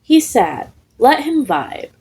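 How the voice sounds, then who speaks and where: A woman reading the part of a calm, odd teenage boy in a story, earnestly deadpan. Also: sits high, slow, voice pushed up, exaggerated voice.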